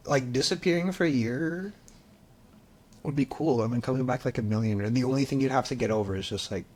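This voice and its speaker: A man's voice: medium pitch, a little fast, a little mellow, breathy edge. A young man in casual podcast chat, loose and opinionated, with many fillers.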